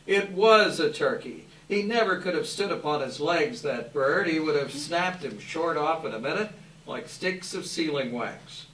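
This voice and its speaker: A man narrates a story on stage in a readers theater. His voice sits a little high and comes from some distance in an echoey hall. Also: expressive, a little slow, fairly bright tone, a little hiss or hum. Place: live event hall.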